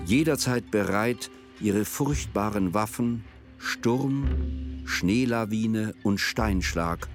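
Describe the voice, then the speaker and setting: A man with a fairly low voice tells a literary story for radio. His delivery is measured and descriptive, in the manner of a scripted drama narrator. Music plays clearly behind him.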